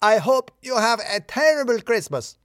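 A man voicing an angry, uptight office freelancer in an audio story, shouting his affronted, clipped and formal protests. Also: extremely high pitch, sing-song, moderate pace, dry recording, studio quality, voice pushed up. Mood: furious defiance.

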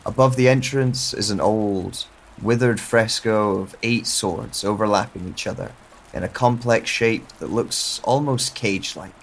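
A man narrating a first-person audio drama in a slow, hushed, introspective way, over a music score and the sound of rain. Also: lowish voice, a little hiss or hum, rough recording.

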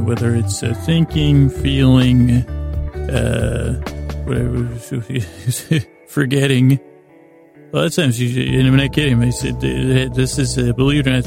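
A man hosting a bedtime podcast in a meandering monologue, stammering and repeating words as he goes. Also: mid-range voice. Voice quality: gravelly, creaky. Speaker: podcast host. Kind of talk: rambling bedtime monologue to help listeners sleep.

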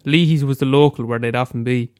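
A man's voice: mid-range voice, fairly even intonation, fast, darkish tone, clean tone, dry recording, studio quality. A young Irish man with a head cold, reading aloud a short story he wrote, after a hesitant casual introduction. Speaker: amateur storyteller.

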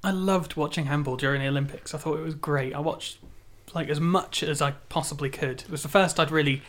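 A man co-hosting a casual podcast, chatty and quick to laugh. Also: on the high side, steady tone, a bit bright. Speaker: podcast co-host. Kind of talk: casual chat.

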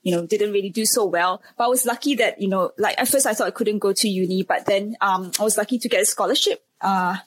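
A breathy, slightly husky woman in her thirties from Singapore answers interview questions. She talks hesitantly, with many fillers and restarts, and comes across as modest. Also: medium pitch, quick pace, few pauses. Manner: modest, laughs shyly. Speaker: speech pathologist and clinic owner.

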